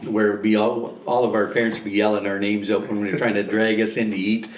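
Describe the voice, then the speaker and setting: A man's voice: fairly low pitch, flat delivery, fairly quick, highs cut off, slight background noise. A man in his sixties from Ontario, Canada, telling nostalgic stories about his school days. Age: 60s. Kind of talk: documentary interview. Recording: roomy sound from a distant mic.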